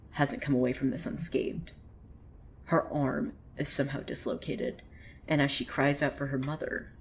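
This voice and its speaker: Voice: mid-range voice, gravelly, a little hiss or hum. A woman playing a wry, theatrical narrator of a surreal small town in a scripted audio drama, telling the tale dramatically. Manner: theatrical, swinging from hushed to excited. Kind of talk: dramatic scripted storytelling monologue.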